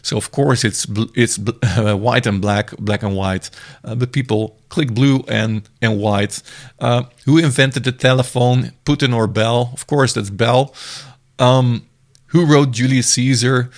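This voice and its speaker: Man with a gravelly voice, casual and meandering as he walks through software on screen, often restarting mid-sentence. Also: mid-range voice, fairly even intonation, measured pace. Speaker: software reviewer. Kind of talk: screen-recorded software demo.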